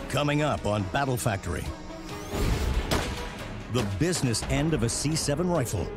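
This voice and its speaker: A man reading scripted television documentary narration in a measured way with dramatic emphasis. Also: medium pitch. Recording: music behind the voice. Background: background music.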